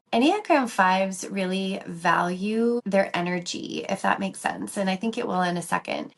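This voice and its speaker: A woman hosting her own podcast, her voice a bit raspy and lower than usual from a cold, talking in a chatty, rambling way. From South Dakota. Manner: chatty, rambling, friendly explainer. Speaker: podcast host, dental hygienist. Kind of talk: casual solo podcast talk. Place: workplace, on a lunch break.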